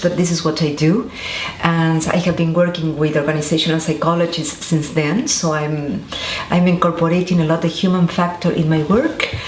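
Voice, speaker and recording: Brief: Argentine-born woman, lowish voice, halting interview answers, echoey room with faint noise. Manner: thoughtful, many restarts and fillers. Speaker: interviewee, scientist.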